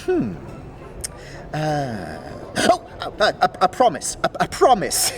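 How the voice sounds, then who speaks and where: Fantasy audio drama: giddy, mocking riddle-master skeleton, stammering archaic speech, highish voice, extremely animated delivery. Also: male voice, takes it slowly, full character voice. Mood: playful. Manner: cackling, stammering, archaic thee-and-thou speech.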